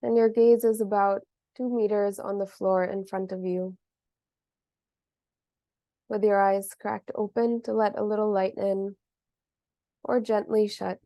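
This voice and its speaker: Soft-edged woman guiding meditation, flat and mellow. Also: medium pitch, no room sound, dull audio. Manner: gentle, measured, with long pauses. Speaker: meditation teacher. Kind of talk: slow guided meditation.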